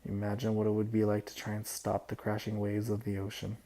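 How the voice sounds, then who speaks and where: A man leading a guided imagery meditation, giving soft-spoken, unhurried instructions with pauses between phrases. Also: lowish voice, completely flat delivery.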